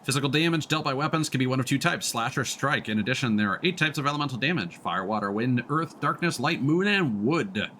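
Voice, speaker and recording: A man's voice: medium pitch, expressive, fairly quick, few pauses, bright, forceful tone, slight background noise. A man giving casual let's play commentary as a game streamer, reading on-screen text aloud. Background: faint game music.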